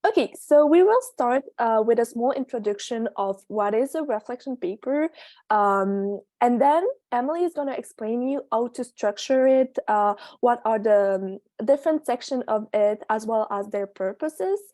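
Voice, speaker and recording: A woman's voice: on the high side, expressive, normal speed, mellow, no echo. A sixteen-year-old girl from Belgium hosting an online meeting, talking hesitantly with many fillers. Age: teenager, sixteen. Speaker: meeting host presenting slides. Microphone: video call.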